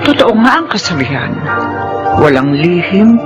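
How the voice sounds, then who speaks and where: A woman's voice: lowish voice, very animated, measured pace, over loud music. An actress playing a loving, worried mother in a Filipino radio drama, chiding and tender by turns, with a Filipino accent. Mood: tender, reflective. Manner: chiding, tender, sighing exclamations. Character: loving, worried mother hiding a painful secret.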